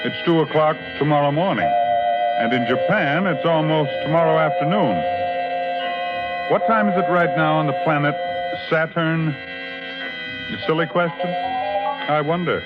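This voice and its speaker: A man's voice: fairly high pitch, measured pace, pauses often. A man hosting a 1950s radio show in scripted narration. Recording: dull 1950s radio recording, loud music. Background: loud music.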